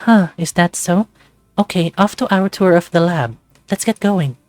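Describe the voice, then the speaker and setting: Man putting on a high-voiced brisk female lab supervisor. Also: dry recording. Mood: brisk, probing.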